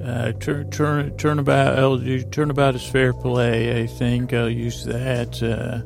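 A man hosting a bedtime podcast, meandering and halting in a creaky voice, with an easy, soothing delivery meant to lull listeners to sleep. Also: mid-range voice, completely flat delivery, music in the background. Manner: meandering, halting, trails off and restarts. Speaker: podcast host.

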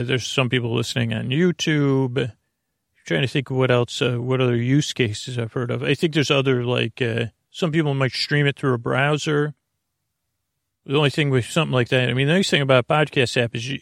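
A man hosting a sleep podcast in a rambling monologue, halting, repeating words and trailing off mid-thought, with a creaky voice. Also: mid-range voice, dry recording, clean studio sound. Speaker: podcast host.

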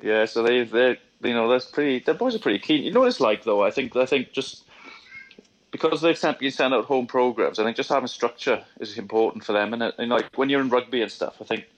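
A man, talking as a guest in a halting, repetitive way while he thinks. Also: mid-range voice, expressive. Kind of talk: casual remote chat. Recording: lo-fi call audio.

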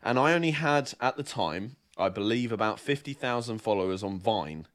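A man's voice: on the low side, lively intonation, moderate pace. A young man from southern England, chatting casually as a podcast co-host and telling stories with lots of fillers and restarts. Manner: rambling storyteller, many fillers and restarts. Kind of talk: casual chat.

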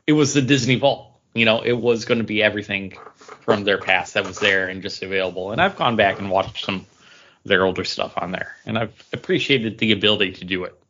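A man's voice: on the low side, a little fast, fairly bright tone, a little hiss or hum. A man co-hosting a podcast in a casual, conversational style. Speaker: podcast co-host. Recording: dull, lo-fi podcast audio.

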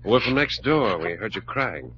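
An actor playing a steady, cautious, kindly adventurer with a matter-of-fact manner in an old-time radio drama. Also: male voice, lowish voice, normal speed. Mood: cautious, concerned. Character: level-headed, cautious, kindly male adventurer. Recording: muffled old radio recording with hum, noise.

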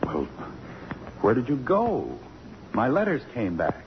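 An actor playing a gentle, reticent middle-aged college president, hesitant and courteous, in 1950s radio drama style. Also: male voice, mid-range voice, huge pitch swings, slow. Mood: tender, hesitant. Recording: muffled, noisy old radio recording.

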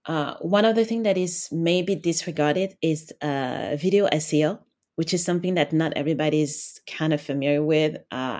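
A woman's voice: deep voice, a little mellow. Woman from Senegal explaining as podcast guest. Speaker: podcast guest, video marketing consultant. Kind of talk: conversational explanatory interview answers.

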